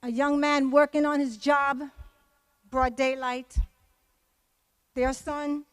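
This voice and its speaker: A woman's voice: high voice, level tone, slow pace. A woman speaks publicly as a community member. Her delivery is impassioned and emphatic, leaning on repeated phrases. The sound is dry, with very little echo. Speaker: community member giving testimony. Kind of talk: impassioned public speech at a memorial event.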